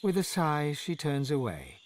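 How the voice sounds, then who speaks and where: A man acting as the narrator of a fantasy game, telling scenes in an expressive storybook style. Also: fairly high pitch, a little slow, a little hiss or hum. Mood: gentle, wry. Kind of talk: scripted second-person narration.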